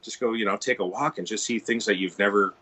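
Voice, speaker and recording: A man's voice: fairly low pitch, quick pace, sharp tone, slightly rough. A middle-aged Canadian man from Ontario, chatting as a guitar tech guest in a casual interview, rambling with many restarts and "you know"s.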